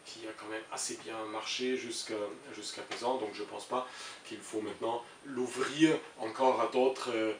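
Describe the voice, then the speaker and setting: A man's voice: fairly low pitch, steady tone, moderate pace. A middle-aged man from Germany, a native German speaker with a German accent, answering interview questions as an international civil servant and often searching for his words. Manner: hesitant, searching for words with frequent repetitions. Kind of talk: interview answers, explaining an opinion. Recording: roomy, distant mic with faint hiss.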